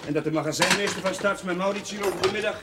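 An actor playing a kindly, slightly awkward village chaplain in a television drama, speaking in a conversational, hesitant way. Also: male voice, high-pitched, racing, close to an everyday voice. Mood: evasive, easygoing. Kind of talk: naturalistic television drama acting. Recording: noisy old TV soundtrack, clattering dishes.

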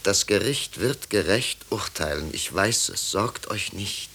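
An actor in a 1950s German radio play, playing a solemn, righteous pastor in a grave, formal, measured preaching tone. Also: male voice, lowish voice, lively intonation, pushed and bright, exaggerated voice. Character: solemn, righteous male pastor investigating witchcraft. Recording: hissy 1950s radio recording.

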